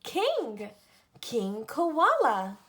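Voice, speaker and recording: A woman reading a picture book aloud to children and teaching the alphabet, with deliberate pauses between phrases. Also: fairly high pitch, wildly swooping pitch, a bit bright, slight background noise. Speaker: read-aloud storyteller for children.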